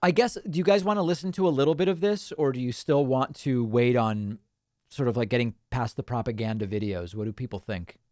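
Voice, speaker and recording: A man's voice: medium pitch, fairly quick, a little mellow, dry recording, clean studio sound. A man from Argentina, a native Spanish speaker, hosting a political livestream and casually reading viewer comments aloud with frequent ums and uhs.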